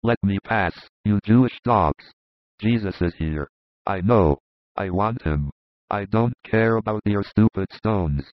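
A man reading aloud and voicing a rough, desperate Roman soldier in a lower, harsh voice. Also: measured pace, no echo, natural voice. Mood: angry. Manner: harsh, insulting, urgent. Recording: dull lo-fi dry recording.

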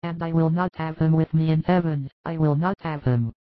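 A man voicing a quick-tempered older mother who scolds with strong emotion. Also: a little high, no room sound, pitched above the performer's own voice, close to an everyday voice. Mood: grieving. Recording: thin phone-like recording.

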